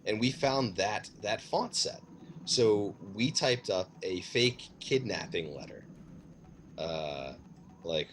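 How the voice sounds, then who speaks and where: A man's voice: on the low side, animated, slow, fairly bright tone. A man telling a casual personal story in a hesitant, rambling way, as a streamer chatting. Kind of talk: casual rambling anecdote told in conversation. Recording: clearly noisy background.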